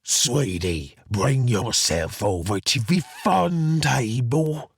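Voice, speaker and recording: Camp fussy space celeb, super slow drawn out, husky n creaky, very up and down. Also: male voice, medium pitch, expressive, no room sound, clean studio sound, heavily acted. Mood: inviting. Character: flamboyant, gossipy, fussy galactic celebrity who calls everyone sweetie.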